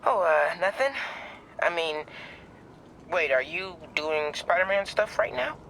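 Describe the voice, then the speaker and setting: A man's voice: high-pitched, expressive, a little slow, gravelly, pushed and bright, slight background noise, exaggerated voice. An actor playing a nervous, eager teenage boy in a video game, hesitant and rambling, on a phone call. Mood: nervous, curious. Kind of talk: video game cutscene acting.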